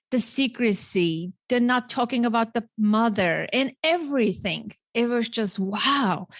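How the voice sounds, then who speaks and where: A woman's voice: mid-range voice, no echo. Armenian-accented woman hosting, close dry sound. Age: 40s. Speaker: podcast host. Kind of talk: podcast introduction and interview talk.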